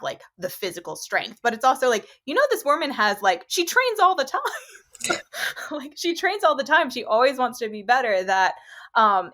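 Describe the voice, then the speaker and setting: A woman in a casual podcast chat with quick, playful chatter. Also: mid-range voice, a bit bright. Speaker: podcast panelist.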